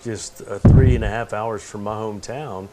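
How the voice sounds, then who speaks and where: A man's voice: fairly low pitch, fairly even intonation, normal speed, heavy background noise. A middle-aged man from Texas, interviewing a guest in a rambling, easygoing way. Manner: easygoing, rambling questions with fillers. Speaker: TV station host, interviewer. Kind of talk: casual interview. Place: tv station room.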